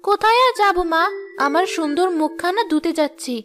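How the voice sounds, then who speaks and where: A woman's voice: extremely high pitch, fairly quick, fairly bright tone, faint music behind. A woman playing a vain, quarrelsome young village wife in a cartoon, with a haughty, complaining manner. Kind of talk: cartoon voice acting.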